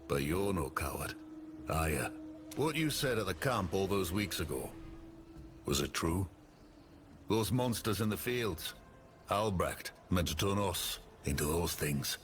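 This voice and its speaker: A man's voice: sits low, sing-song, a little slow, long pauses, a little hiss or hum. A man voicing a plainspoken, loyal town militiaman in a video game. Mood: wry, probing.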